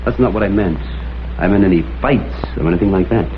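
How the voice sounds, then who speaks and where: A man's voice: low voice, fast, close to an everyday voice. A man with an American accent playing a tough, dogged detective in a vintage noir drama, speaking and narrating in a terse, hard-boiled way. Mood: probing. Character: tough, dogged male photographer detective, wry and stubborn. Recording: thin, tinny vintage broadcast, music behind.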